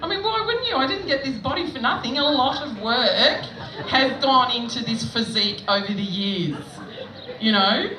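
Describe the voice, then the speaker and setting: A woman's voice: sits high, animated, moderate pace, a crowd in the background. Chatty Australian woman comic, echoey stage. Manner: chatty, self-mocking storytelling with frequent laughs. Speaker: stand-up comedian. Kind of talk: stand-up comedy set. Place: outdoor festival stage. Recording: echoey, distant mic with background noise.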